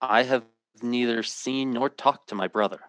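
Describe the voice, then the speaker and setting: A man's voice: medium pitch, moderate pace, fairly bright tone, clean tone, close to an everyday voice. A man playing an earnest, troubled, intense hero in an old-time radio drama. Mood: guarded, earnest. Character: earnest, troubled man telling a fantastic story.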